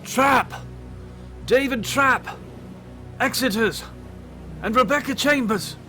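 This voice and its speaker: Narrator acting high, strained shouts of men at sea. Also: male voice, slow, faint music behind, full character voice. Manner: urgent, loud shouting. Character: shouting male rescuers and survivors at sea. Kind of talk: audio drama dialogue.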